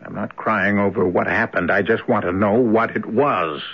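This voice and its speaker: Husky, cocky young man. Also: fairly low pitch, fairly even intonation, normal speed. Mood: agitated, demanding. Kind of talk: old-time radio drama acting. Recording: muffled old radio broadcast recording.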